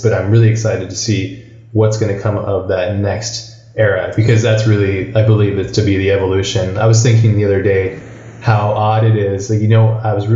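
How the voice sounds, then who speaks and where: A man's voice: on the low side, warm tone, reverberant, muffled recording. A man from Hawaii hosting a podcast, talking loosely in casual chat with many fillers and restarts. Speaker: podcast host.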